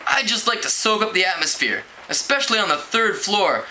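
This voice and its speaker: An eager, awkward teenage boy played by a man reading a novel poses earnestly as a reporter. He sounds high and very bright, polite but improvising, on a noisy home recording. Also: big pitch swings, fairly quick, heavily acted.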